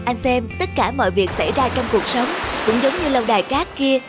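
Woman acting a high-voiced caring young cartoon wife. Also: fairly quick, over music, close to an everyday voice. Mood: reassuring.

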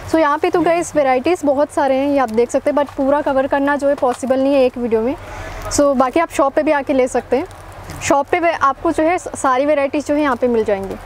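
A woman's voice: sits high, a little fast, darkish tone, a little hiss or hum, rough recording. A young woman from hosting a market video, chatting with shopkeepers and talking to viewers with many fillers in a small shop. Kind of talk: casual shop walkthrough interview. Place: small crowded shop indoors.

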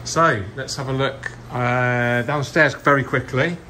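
An enthusiastic man chats his way through a shop tour, his voice sitting at an ordinary middle pitch. He sounds close on a handheld camera mic, with a steady hum of room noise underneath. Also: unhurried. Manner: enthusiastic, chatty. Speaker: aquascaping channel host. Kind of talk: casual vlog tour. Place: aquarium shop indoors.

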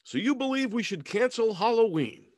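A man's voice: very high voice, lively intonation, normal speed, dry recording. A man playing a polite, earnest news anchor in a comedy parody, speaking in a formal, agreeable, mock-serious way. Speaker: news host.

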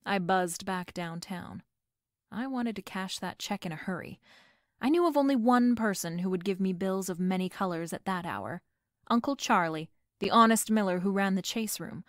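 A breezy female private detective, played by a woman in a noir audio drama. She is coolly playful and quick with a wisecrack. Her mid-range voice sounds close and dry. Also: mid-range voice, dry recording, natural voice.

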